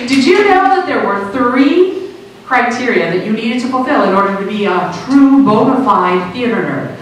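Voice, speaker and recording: A middle-aged woman from Russia, a native Russian speaker, doing a conversational, expressive stand-up comedy set. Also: a little high, normal speed. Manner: conversational, expressive storytelling to a crowd. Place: small improv theater stage, indoors. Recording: echoey small theater, distant, some noise.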